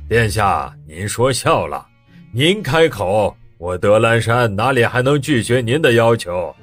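A man's voice: medium pitch, animated, unhurried, fairly bright tone. A man playing a boastful, greedy, hearty fat nobleman in an audio drama, with a blustering drawl. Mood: jovial. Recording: music behind the voice.

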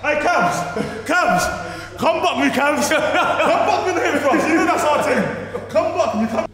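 A young man hosting a gym vlog, coaching and cheering players on with loud, hyped encouragement. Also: very high voice, lively intonation, measured pace, distant mic, noisy background. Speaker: fitness coach and show host. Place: indoor gym, large echoey room. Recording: echoey gym with music.

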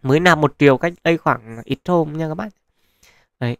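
Male gaming host, gravelly mid voice, chatty advice with fillers, dry close mic. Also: fairly high pitch, brisk, no room sound. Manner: chatty, advising viewers, many fillers. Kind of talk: casual gameplay commentary. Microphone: close mic, voice-over on screen capture.

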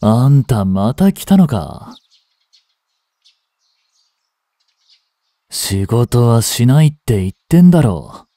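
A man acting as a cynical, aloof young street delinquent in a voice drama, with rough, casual, lazily teasing speech, close to the mic. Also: mid-range voice, very animated, measured pace, dark tone, dry recording. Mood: exasperated. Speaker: voice actor. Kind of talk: Japanese voice drama aimed at women, one-sided dialogue. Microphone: close studio mic. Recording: dry close-miked studio recording.

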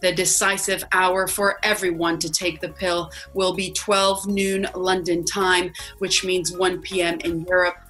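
A young actress playing a polished TV news anchor who reads the news and interviews a guest. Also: female voice, lowish voice, rough recording. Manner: bright, polished anchor delivery. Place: plain room, webcam.

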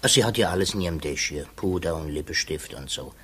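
An actor in a 1950s radio drama playing a simple, matter-of-fact prison warder. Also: male voice, very deep voice, fast talker, breathy edge. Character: plain-spoken, unhurried male prison warder.

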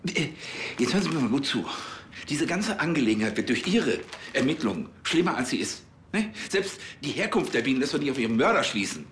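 A man's voice: mid-range voice, very animated, fairly quick, fairly bright tone. An actor playing an officious, exasperated male police chief in a comedy series, sometimes stammering in irritation. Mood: irritated. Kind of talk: comedic screen acting. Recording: roomy echo, faint background noise.

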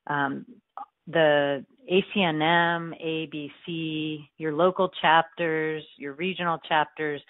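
A woman's voice: low voice, level tone, takes it slowly, dry recording. A woman nurse-midwife faculty member speaking earnestly in a webinar over a video call. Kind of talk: webinar talk. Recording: phone-like video call audio.

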